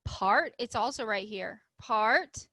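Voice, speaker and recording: Lady teacher talking to young kids, slow n bright voice, dry close sound, asks lots of simple questions. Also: a little high, lively intonation, no echo. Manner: simple explanations with frequent questions to children. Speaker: primary school teacher. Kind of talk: read-aloud and writing lesson for young children.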